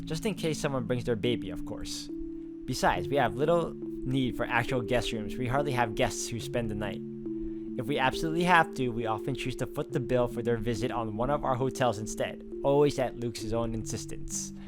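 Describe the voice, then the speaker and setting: A man's voice: mid-range voice, moderate pace, over loud music. A man reading a visual novel's narration aloud in a dramatic way, with sarcastic asides. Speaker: video game player reading narration aloud.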